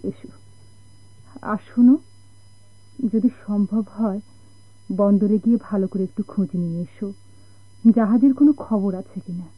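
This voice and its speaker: Lady acting a gentle sad sailor's wife, husky highish voice, bangladeshi accent, hesitant, old tinny radio drama sound. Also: normal speed, pauses often, no room sound, natural voice. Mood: tender, hesitant. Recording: dull, narrow-band old radio recording.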